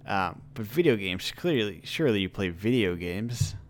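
A young male podcast host with a fairly low voice leads a relaxed conversation over a call. He talks easily and at length, circling around his questions in a chatty, informal way. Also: normal speed. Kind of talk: casual chat over a call.

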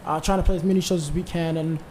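A man's voice: on the high side, steady tone, fast talker, darkish tone, a little hiss or hum. A man in his twenties, a band leader, telling stories in a rambling, easygoing way with many fillers. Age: 20s. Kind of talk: casual interview chat.

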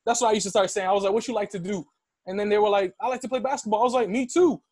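A man's voice: high-pitched, rapid-fire, no echo, studio quality. A young man of Dominican background telling a personal story in casual conversation, full of "like" and "yo.". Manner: animated storytelling, heavy use of "like".

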